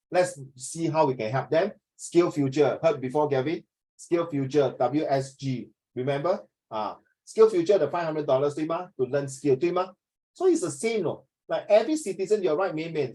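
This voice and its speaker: A man's voice: medium pitch, warm tone, poor audio. A middle-aged man with a Singaporean English accent teaching a class, joking, wandering off topic and mixing in Chinese. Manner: joking, digressive, switching to Chinese. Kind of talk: classroom lesson.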